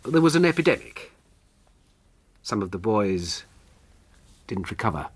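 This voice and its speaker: Husky naturalist for radio drama, very lively pitch, polite but controlling. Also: male voice, measured pace, full character voice. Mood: sombre. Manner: emphatic, polite with a firm edge. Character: excitable naturalist and former schoolmaster, controlling, ingratiating.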